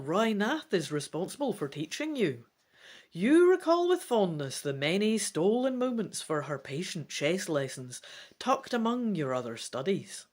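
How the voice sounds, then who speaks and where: A woman narrates a fantasy gamebook as a dramatic storyteller. Her mid-pitched voice is lively and expressive, rising and falling freely through the scripted prose. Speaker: gamebook reader.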